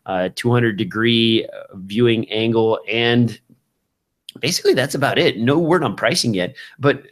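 A man's voice: mid-range voice, normal speed. A man in his thirties hosting a podcast, chatting casually about tech gear into a close microphone. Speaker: podcast host. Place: small indoor room with shelves of gear. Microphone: broadcast microphone on a boom, close up, with headphones.

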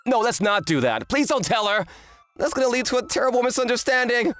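A woman voicing an earnest, kind teenage boy, an excitable manga fan, in an anime-style romantic comedy dub. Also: fairly high pitch, brisk, faint music behind, close to an everyday voice. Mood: flustered. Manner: earnest, exclamatory.

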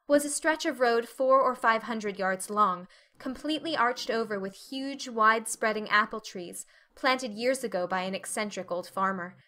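Bright-voiced woman narrating a novel. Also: a little high. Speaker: audiobook narrator. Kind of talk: expressive scripted literary narration.

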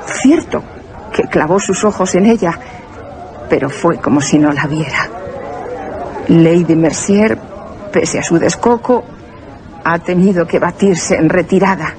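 A woman playing a flirtatious London society lady in a radio drama, breathy and theatrical. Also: on the low side, a little slow, pauses often, crowd noise, lightly acted, rough recording. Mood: gossipy, enthralled. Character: flirtatious high-society London woman, fascinated and fearful of a vampire. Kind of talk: Spanish radio theatre acting.

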